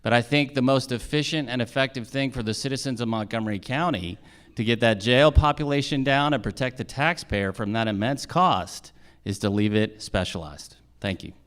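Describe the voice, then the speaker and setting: A man's voice: mid-range voice, normal speed, a bit bright, clear, resonant tone. A man answering questions as a judicial candidate at a forum, explaining his points in a plain-spoken way.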